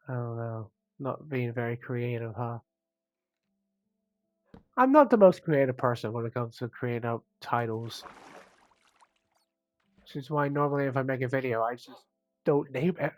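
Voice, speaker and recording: Croaky man chatting over gameplay. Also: mid-range voice, moderate pace, pauses often, no room sound, faint music behind. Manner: chatty, rambling, self-mocking asides. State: croaky, sniffly from a cold. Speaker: gaming channel host. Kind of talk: casual gameplay commentary.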